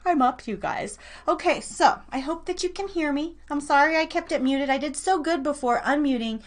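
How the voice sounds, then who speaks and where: A woman's voice: high-pitched, moderate pace. A woman hosting a live radio show, chatting informally and rambling in a self-conscious way. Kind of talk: casual live show chat.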